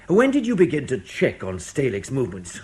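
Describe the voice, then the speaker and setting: A man's voice: medium pitch, wildly swooping pitch, brisk, a little mellow. A man playing a blunt, commanding interrogator who presses and accuses, in a British radio drama. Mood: pressing, sceptical. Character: blunt, pressing male intelligence interrogator in charge.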